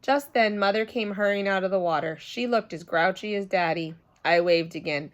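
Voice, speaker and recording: A woman reading a children's book aloud as a storyteller, expressive and dramatic, telling it as the child narrator. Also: mid-range voice, normal speed, dull audio.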